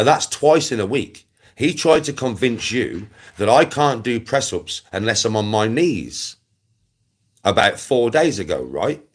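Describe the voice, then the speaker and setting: A man in his forties with an English accent, ranting casually on a livestream, bluntly and with plenty of swearing, hammering points home by repeating them. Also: fairly low pitch. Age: 40s. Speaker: live streamer.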